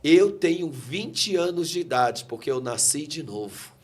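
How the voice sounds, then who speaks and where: A man in his forties speaks with the fervor of a preacher, quoting scripture as he gives his testimony. His voice is somewhat high for a man. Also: lively intonation, unhurried, slight background noise. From Brazil. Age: 40s. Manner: fervent, preacherly, quotes scripture. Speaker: guest pastor. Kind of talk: emotional testimony in a talk interview.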